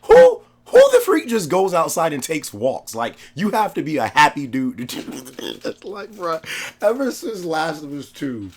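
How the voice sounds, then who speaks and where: A man with a cold, his voice hoarse, chatting excitedly about a video game on his channel, slangy and laughing often. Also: a little high, measured pace. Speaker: gaming YouTuber. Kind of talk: casual gaming chat.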